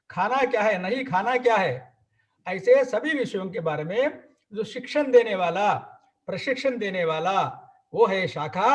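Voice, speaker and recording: Guy with a pretty high voice lecturing a group, repeats himself in long lists, muffled lo-fi sound. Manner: repetitive rhetorical lists, slow emphatic cadence. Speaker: speaker at an organisation's gathering. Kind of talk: ideological lecture. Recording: dull lo-fi recording.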